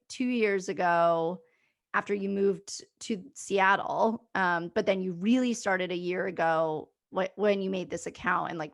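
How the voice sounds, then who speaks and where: A woman's voice: fairly low pitch, creaky. A young adult woman hosting a casual interview, chatty and upbeat, with many filler words. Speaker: host.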